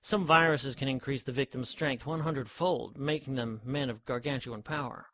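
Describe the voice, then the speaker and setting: A man's voice: medium pitch, fairly even intonation, normal speed, pushed and bright, no echo, lightly acted. An actor playing an eager, eccentric scientist in a period radio drama, precise and earnest as he lectures. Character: eager eccentric male scientist, brother of a detective.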